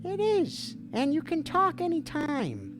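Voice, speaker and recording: A woman with a gravelly voice hosts a playful call-in stream, posing as a dreamy mock therapist. Her fairly high voice swoops wildly up and down in a theatrical, goofy way. Music plays clearly in the background. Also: huge pitch swings, takes it slowly. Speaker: livestream call-in show host. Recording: music playing behind the voice.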